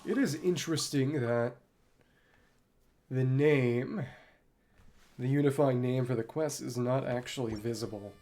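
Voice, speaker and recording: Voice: medium pitch, unhurried, long pauses, dark tone. A streamer playing a video game with casual commentary, thinking aloud in fragments and exclamations.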